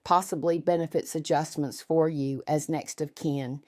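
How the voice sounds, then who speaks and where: A woman narrator voicing an older, retired male army colonel, measured, deliberate and solemn, in a story. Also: sits low, steady tone, a little mellow, dry recording, studio quality, natural voice. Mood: measured, assured. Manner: slow, deliberate.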